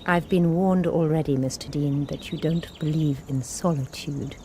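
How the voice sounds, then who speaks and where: An actress playing a composed nun leading a mission in a radio drama, crisp and firm yet polite. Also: female voice, low voice, moderate pace, mellow, slight background noise, natural voice.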